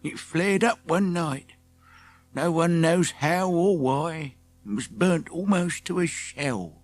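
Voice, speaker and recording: A man's voice: on the high side, slow, no room sound, faint music behind, pitched above the performer's own voice. A man voicing a blunt but helpful old village shopkeeper with country phrasing in a children's audiobook. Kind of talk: children's audiobook character acting.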